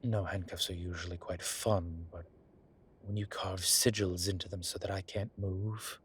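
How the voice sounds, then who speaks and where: A man voicing a flirtatious, smitten demon professor in an intimate audio roleplay, husky and breathy, with halting, wry phrasing. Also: sits low, little pitch movement, slight background noise, natural voice. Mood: playful, teasing. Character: teasing, smitten male incubus demon professor. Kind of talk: intimate one-sided audio roleplay acting.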